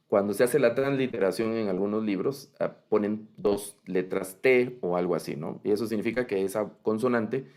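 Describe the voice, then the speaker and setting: Low gravelly-voiced Latin American teacher. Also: male voice, moderate pace, hardly any pauses, a little mellow. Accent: Latin American Spanish. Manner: patient, explanatory, frequent "¿verdad?" check-ins. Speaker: Bible language teacher. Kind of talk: online class lecture.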